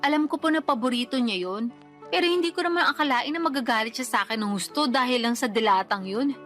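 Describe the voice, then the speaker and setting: A woman's voice: on the high side, expressive, quick pace, fairly bright tone, faint music behind. A woman playing a weary young mother who justifies herself politely but protestingly in a radio drama. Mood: defensive explaining. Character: weary, defensive young mother answering her own mother. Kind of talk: Tagalog radio drama acting.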